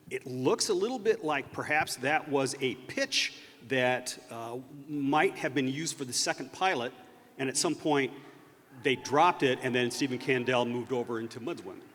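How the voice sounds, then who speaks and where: A man telling a story off the cuff as a panelist at a live fan panel, speaking in a halting, thoughtful way. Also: mid-range voice, a bit bright. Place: large convention stage. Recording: echoey hall PA with faint noise.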